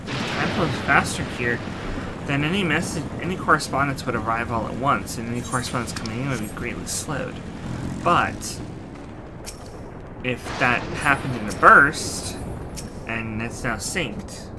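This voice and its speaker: A man's voice: medium pitch, measured pace, very noisy. A man giving casual gameplay commentary as a streamer, thinking aloud. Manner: thinking aloud in short reactions. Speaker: gaming streamer. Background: loud game music.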